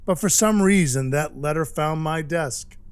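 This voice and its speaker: Man playing a highish-voiced, folksy Christmas dwarf. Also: clean tone, dry recording, natural voice. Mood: sincere, chatty. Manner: halting, folksy, sincere. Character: male Christmas dwarf turned Santa, scruffy, apologetic, sentimental. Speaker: actor in an audio play. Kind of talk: audio drama, first-person storytelling.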